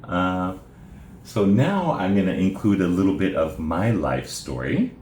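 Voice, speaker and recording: A middle-aged man from Japan, a native Japanese speaker, with an extremely deep voice. He talks in a measured, unhurried way and often pauses on "uh". The recording has a roomy echo and a faint hiss. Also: big pitch swings, a little mellow. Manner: measured and plain, with frequent "uh" pauses. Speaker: presenter telling his life story. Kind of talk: informal spoken presentation.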